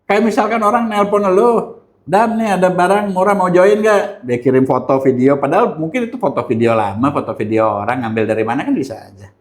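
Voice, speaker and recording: A man with a slightly high voice and a Jakarta Indonesian accent. He talks like someone on a relaxed podcast, slangy and colloquial, throwing out rhetorical questions and little quoted exchanges as he explains. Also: fairly quick. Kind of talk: casual chat, colloquial storytelling.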